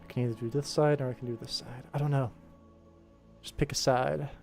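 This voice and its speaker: A man with a medium-pitched voice talks casually on a work livestream. He explains his process and thinks aloud as a director, while music plays faintly behind him. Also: fairly even intonation, brisk, creaky, a little mellow.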